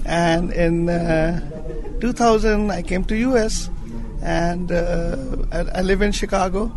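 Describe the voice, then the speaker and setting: A man from India introducing himself hesitantly, with many pauses and restarts. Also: sits high, noisy background. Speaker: yoga practitioner. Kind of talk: casual self-introduction.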